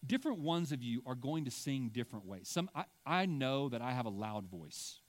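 A man's voice: mid-range voice, sing-song, moderate pace. A middle-aged man preaching a sermon in a church, conversational and self-deprecating, often checking in with "right?" and stumbling through restarts when he digresses. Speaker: pastor. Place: church sanctuary.

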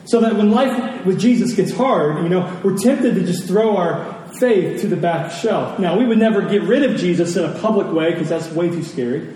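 A man's voice: high-pitched, normal speed, hardly any pauses, mellow. A man preaching a conversational sermon, repeating key phrases for emphasis. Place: large reverberant room. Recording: very echoey hall, distant mic, faint noise.

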